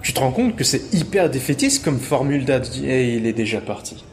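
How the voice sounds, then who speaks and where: A man's voice: medium pitch, big pitch swings, a little fast, soft background music. An actor playing a glib, mocking male thief in an audio drama, with a casual, teasing, slangy manner. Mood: mocking, playful. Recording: reverberant space, faint background ambience.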